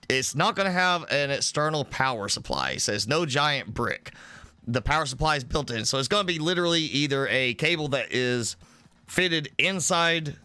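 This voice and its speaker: A man with a head cold hosting a casual live stream, clearing his throat often and explaining things in a rambling way with lots of fillers. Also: mid-range voice, bright, forceful tone, no echo. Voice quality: throat-clearing, slightly stuffy. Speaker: game streamer.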